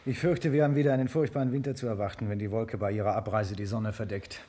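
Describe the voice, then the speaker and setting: An actor playing a dryly unworried scientist in a 1950s radio drama, with a casual manner of speaking. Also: male voice, on the low side, fairly even intonation, fast talker, dark tone, lightly acted. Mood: musing. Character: dryly unworried male scientist, volunteering as guinea pig.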